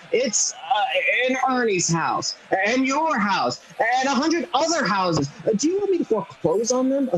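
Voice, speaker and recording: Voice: mid-range voice, natural voice, rough recording. An actor playing an anxious, pleading young small-town loan man in a radio play, stammering and hesitant over a video call. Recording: video call audio with background voices.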